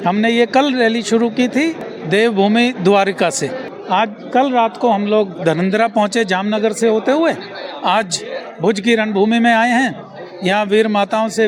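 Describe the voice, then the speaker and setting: A male army officer giving an interview statement, explaining point by point. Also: high-pitched. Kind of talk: spoken news interview statement. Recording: crowd noise behind the speaker. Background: crowd.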